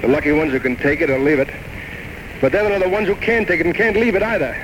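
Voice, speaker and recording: A man's voice: on the high side, quick pace, close to an everyday voice. An actor playing a tormented, grandiose alcoholic writer in his thirties, rambling theatrically and bitterly mocking himself in an old radio drama. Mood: bitter, anguished. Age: 30s. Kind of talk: 1940s-1950s radio drama acting. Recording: noisy old radio broadcast recording.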